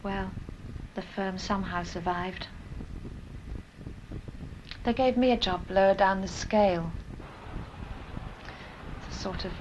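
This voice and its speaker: A woman's voice: fairly low pitch, creaky. An actress playing a rueful former company boss who tells of her own failings in a dry, wry monologue, on an old 1970s film soundtrack. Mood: subdued, resigned. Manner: dry, wry, self-deprecating storytelling. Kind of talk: first-person dramatised monologue in a training film. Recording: muffled, noisy 1970s 16mm film soundtrack.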